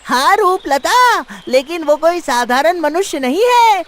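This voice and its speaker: A woman voicing a friendly, chatty talking forest bird in a cartoon story, with an excited, drawn-out delivery. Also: extremely high pitch, full character voice. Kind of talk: Hindi cartoon moral story voice acting.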